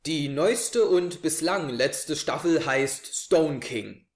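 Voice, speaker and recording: A young man hosts a review show with a fairly high voice. He presents to camera in a living room, and the recording is clearly echoey. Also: lively intonation, slow. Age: 20s. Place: small living room, indoors on a couch.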